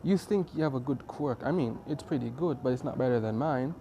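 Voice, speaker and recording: A man voices a confident teenage boy hero in a casually told anime story. He sounds smug and defiant, with a mellow, relaxed tone. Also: medium pitch, brisk, a little hiss or hum, close to an everyday voice.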